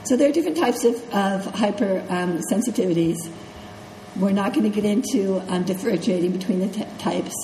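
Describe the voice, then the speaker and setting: A woman's voice: mid-range voice, brisk, dark tone, slight background noise. Congested woman lecturing in an echoey classroom. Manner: conversational, checks in with "okay?" and "right?". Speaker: nursing instructor. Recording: echoey classroom with faint ambience.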